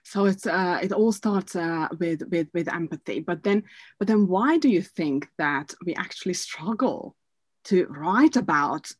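A woman's voice: on the low side, lively intonation, measured pace, breathy edge, dry recording. A woman hosting a podcast interview who asks questions in a thoughtful way, often hesitating as she goes. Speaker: podcast host.

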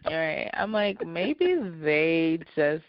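A woman podcast co-host chats casually in a lowish voice with plenty of fry. Her delivery is dry. The recording is tight and free of room sound, a bit dull. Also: sing-song, drawn-out words, dry recording. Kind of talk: casual chat.

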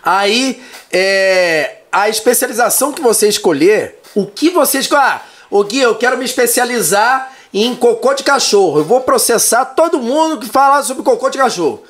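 A man's voice: extremely high pitch, normal speed. A man, a lawyer chatting as a podcast guest and telling jokey stories. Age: 30s. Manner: animated, jokey storyteller. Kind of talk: casual chat.

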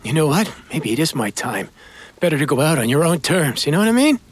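A man playing a bitter, defiant armed criminal in an audio drama, with a gravelly, raspy voice and a taunting manner. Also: fairly high pitch, sing-song, fairly quick, no echo. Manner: taunting, swinging delivery.

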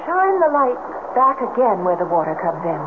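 A woman's voice: on the high side, big pitch swings, moderate pace. An actress playing an impressionable young woman in a 1970s radio horror play, dramatic and intense. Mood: curious. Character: impressionable young woman sensing a monster in the cave. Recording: tinny, very noisy old radio broadcast.

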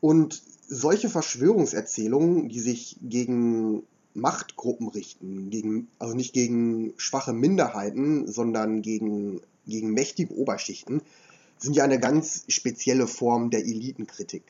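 A man with a slight cold and a hoarse edge, explaining history as a podcast host in a measured lecturing way with frequent filler sounds. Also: mid-range voice, warm tone, highs cut off.

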